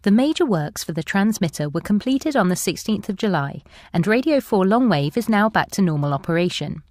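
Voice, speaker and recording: Animated woman reading, dry studio sound. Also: medium pitch, fairly quick, dry recording. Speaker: spokesperson reading a statement. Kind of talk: scripted read statement.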